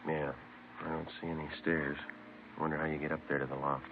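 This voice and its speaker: An actor playing a stoic police detective in a 1950s radio crime drama, with a clipped, deadpan delivery. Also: male voice, rumbling low, fairly quick, slight background noise. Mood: subdued. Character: stoic, matter-of-fact male police detective sergeant, narrator. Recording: old tinny radio recording, music behind.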